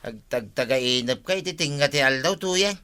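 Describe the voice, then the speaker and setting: A man's voice: mid-range voice, expressive, moderate pace, bright, forceful tone, clear, resonant tone. A man with an Ilocano Filipino accent acting a loyal village buddy in a radio comedy-drama. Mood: protesting, loyal.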